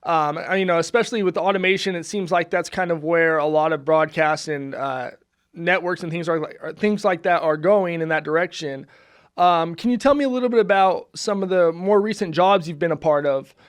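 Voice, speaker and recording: Man, podcast host, high voice, upbeat, casual chat, filler words. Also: moderate pace, clean tone. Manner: upbeat, friendly, with frequent fillers. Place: studio.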